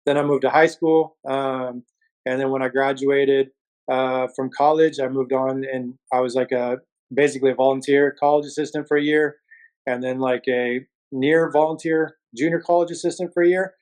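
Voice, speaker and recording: A man with a mid-range voice talks casually as an interview guest. His intonation stays flat and even as he recounts things in a laid-back, somewhat hesitant manner, like a coach in relaxed conversation. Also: medium pitch, normal speed, smooth, low-quality recording.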